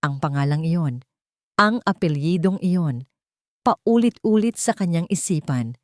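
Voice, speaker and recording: A woman storyteller reading a scripted romantic drama with deliberate, dramatic phrasing. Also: on the low side, animated, darkish tone, no echo, studio quality.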